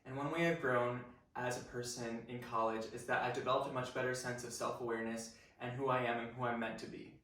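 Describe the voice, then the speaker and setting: A man's voice: mid-range voice, steady tone, fast, few pauses, fairly bright tone. A young man speaks about himself in a reflective tone. He is recorded at a distance, in a room that echoes strongly. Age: young adult. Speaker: theater student.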